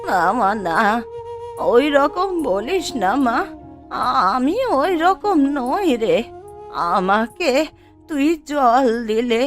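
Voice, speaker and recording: A weary old woman of the road, acted by a woman for a cartoon folk tale. She draws her words out slowly and plaintively, with a lot of rise and fall, over soft background music. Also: high voice, sing-song, full character voice. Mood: pleading. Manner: slow, drawn-out, plaintive pleading. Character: weary, pleading, thirsty old wandering woman. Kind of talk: Bengali moral-story cartoon acting.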